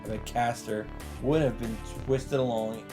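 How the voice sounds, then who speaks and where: Male let's play narrator, medium pitch, dramatic story reading, clear game soundtrack behind the voice. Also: fairly even intonation, measured pace. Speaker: let's play host reading a visual novel aloud. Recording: loud game music behind voice. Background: visual novel soundtrack.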